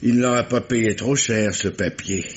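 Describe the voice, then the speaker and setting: An actor playing an insistent, sardonic police commissioner who questions methodically, with stammered repetitions, in the style of a 1950s French radio crime drama. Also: male voice, mid-range voice, steady tone, measured pace, lightly acted. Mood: methodical, pressing. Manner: probing, stammering repetitions, ironic. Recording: muffled, noisy old radio recording.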